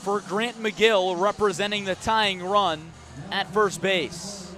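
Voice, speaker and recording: A man's voice: high voice, fairly even intonation, slow pace, crowd noise. An American man calling live baseball on the radio as play-by-play announcer, narrating dramatically in short, clipped phrases. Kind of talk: live radio sports commentary.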